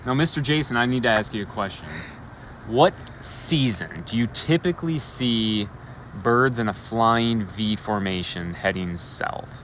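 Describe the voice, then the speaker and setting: A man reads a storybook aloud with expression, pausing for asides and questions to his listeners, recorded outdoors on a live feed. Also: lowish voice, fairly bright tone, slight background noise. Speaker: elementary school educator reading a bedtime story. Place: outdoors on a school roof at night.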